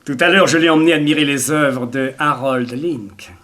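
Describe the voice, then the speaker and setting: An actor playing a smooth, gloating blackmailer and murderer in a theatrical 1960s French radio serial, mock-courteous and insistent. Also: male voice, fairly high pitch, moderate pace, a bit bright, close to an everyday voice. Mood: menacing.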